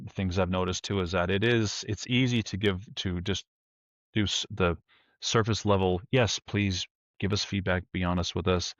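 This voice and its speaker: A man with a deep voice, talking candidly in a rambling way, often correcting himself and joking. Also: dry recording, lo-fi sound. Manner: rambling, self-correcting, uses humor; louder, forceful speaking tone. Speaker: podcast guest. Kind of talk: candid conversational talk.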